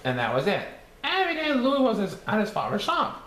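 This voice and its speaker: Cheerful cartoon sponge reading a storybook, high, wildly swooping sing-song delivery with stumbles, in an echoey room. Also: male voice, huge pitch swings, a little fast, fairly bright tone, exaggerated voice. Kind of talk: storybook read-aloud. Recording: echoey room with faint background noise.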